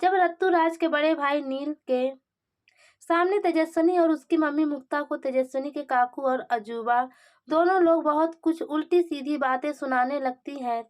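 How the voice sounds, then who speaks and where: A woman narrates in a distinctly high voice. She reads scripted plot recap in a dramatic storytelling style, as a narrator of serial updates. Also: normal speed.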